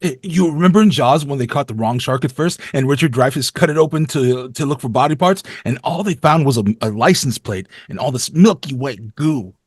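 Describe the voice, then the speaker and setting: A man playing a witty, sarcastic high-school boy in a table read of a slasher script. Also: lively intonation, brisk, few pauses, slightly rough, no echo, clean studio sound. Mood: wisecracking. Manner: wisecracking, sarcastic.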